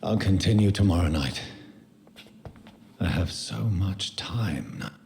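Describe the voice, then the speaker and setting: Deep-voiced, grave gentleman doctor. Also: expressive, creaky, slight background noise, full character voice. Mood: brooding. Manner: measured, formal, brooding. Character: grave, educated male doctor, brooding and courteous. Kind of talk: dramatic video game voice acting. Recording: game music behind the voice.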